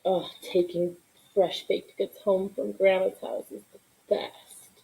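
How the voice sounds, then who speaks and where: A woman reading a children's story aloud in an expressive narration, with asides to young listeners. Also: medium pitch, slow pace, vocal fry, poor audio. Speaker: storybook reader.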